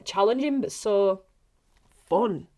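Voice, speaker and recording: A woman's voice: medium pitch, takes it slowly, dark tone. A young woman, an actress, chatting casually on a podcast in a bubbly, giggly, informal way. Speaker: actress being interviewed.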